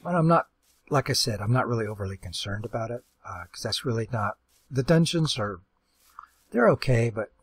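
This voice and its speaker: A man hosting a casual game commentary, rambling and halting with lots of fillers and restarts, with a voice that is going hoarse. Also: medium pitch, expressive, dry recording. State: losing his voice. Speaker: let's play host.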